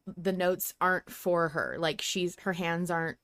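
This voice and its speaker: A woman's voice: fairly low pitch, fairly even intonation, a little slow, fairly bright tone, no echo, studio quality. A woman in casual conversation as a podcast panelist asking questions, tentative and self-deprecating. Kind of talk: casual chat.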